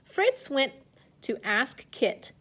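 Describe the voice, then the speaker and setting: A woman's voice: high voice, wildly swooping pitch, extremely slow, fairly bright tone. A woman speaking as an elementary teacher to young children in a read-aloud lesson, instructing in simple phrases and asking questions.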